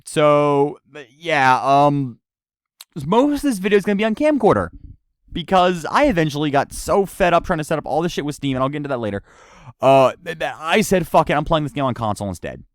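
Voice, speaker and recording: A man from the United States reviewing a video game in casual, rambling, halting, sweary unscripted commentary. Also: a little high, lively intonation, no echo, clean studio sound. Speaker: gaming reviewer. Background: game music.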